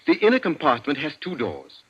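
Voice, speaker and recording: An actor playing a suave, cunning captive in a 1940s radio drama, politely measured and drily ironic, with small hesitant pauses. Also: male voice, a little high, huge pitch swings, fairly bright tone, breathy edge, exaggerated voice. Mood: smugly calm. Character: suave, cunning male captive, inventor of a trap safe. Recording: dull, lo-fi 1940s radio recording.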